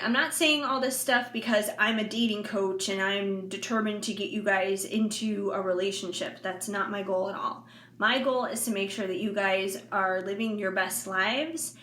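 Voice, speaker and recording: A woman of forty talking candidly to her viewers in a casual, rambling way with many fillers and soft laughs. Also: medium pitch. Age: 40s. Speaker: intuitive reader and vlogger. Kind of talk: casual heartfelt monologue to viewers. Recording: echoey room with faint background noise.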